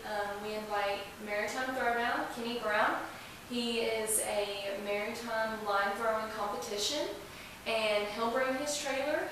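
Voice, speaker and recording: A woman speaking as an interview guest in a casual, chatty style. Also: a little high. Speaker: podcast guest representing a museum. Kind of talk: casual chat. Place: echoey indoor room. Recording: echoey room, distant mic, hiss.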